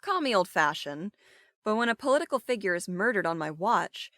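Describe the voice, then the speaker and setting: A woman's voice: medium pitch, sing-song, fairly quick, fairly bright tone, no room sound. A woman playing an assertive, suspicious police captain in an audio drama, dry and pointed in manner.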